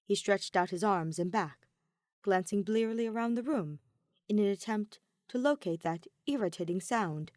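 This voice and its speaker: A woman's voice: medium pitch, expressive, moderate pace, a little mellow, dry recording. Woman reading a dramatic story, dry recording. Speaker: podfic reader. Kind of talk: dramatic audiobook narration of fan fiction.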